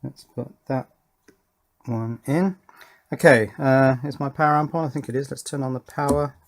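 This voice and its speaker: A man's voice: mid-range voice, steady tone, normal speed. A man giving a casual hands-on electronics demonstration, thinking aloud and talking to himself as he works. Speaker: electronics hobbyist presenter.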